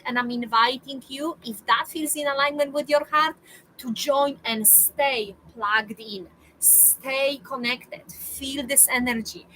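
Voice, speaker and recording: Woman, 40s, spiritual coach, high and bright, emphatic and repetitive delivery. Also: a little hiss or hum, rough recording. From Poland. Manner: emphatic, repeats phrases for urging. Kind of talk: motivational monologue to viewers.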